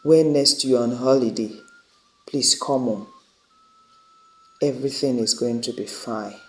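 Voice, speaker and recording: A man voicing a remorseful, caring eldest brother in a story, earnest and apologetic in a measured way. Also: mid-range voice, darkish tone, natural voice. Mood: apologetic, gentle.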